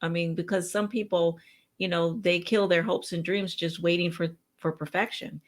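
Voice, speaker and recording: A woman with a somewhat low voice talks enthusiastically and reflectively in a relaxed conversation. Fillers appear naturally as she thinks aloud. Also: moderate pace. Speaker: co-host. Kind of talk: casual chat.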